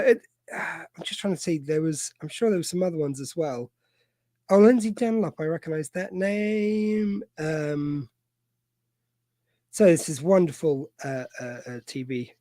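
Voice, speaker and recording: A man with a fairly high voice hosts a loose film chat, drifting and restarting his sentences as he goes. The sound is dry and close, with no room around it. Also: darkish tone, no room sound. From United Kingdom. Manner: rambling, halting, many fillers and restarts. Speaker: podcast host. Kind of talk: casual film discussion. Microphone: video call mic.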